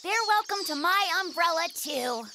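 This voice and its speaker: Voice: very high voice, animated, normal speed, noisy background. An actor voicing a friendly, easily spooked young brown bear in a children's cartoon, with a wry, exclamatory delivery. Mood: kindly. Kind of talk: animated children's cartoon acting.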